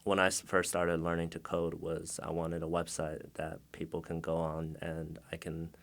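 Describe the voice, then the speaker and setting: A young man from China, guest on a podcast interview, answering in a relaxed, casual way with lots of fillers. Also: low voice, moderate pace. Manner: relaxed, many fillers, laughs often.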